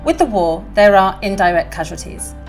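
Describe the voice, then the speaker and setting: A woman hosts an interview and presses her points with concern and emphasis. Her voice sits in the middle pitch range, and faint music plays softly behind her. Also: lively intonation, normal speed. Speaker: host. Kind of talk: video interview.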